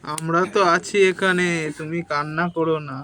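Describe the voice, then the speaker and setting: A woman's voice: deep voice, steady tone, moderate pace, fairly bright tone, voice pushed down. A woman voicing a worried, soothing father in a puppet story, speaking in simple reassuring phrases. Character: worried, soothing young father of a sick child. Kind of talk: puppet storybook play-acting. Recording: clear background noise.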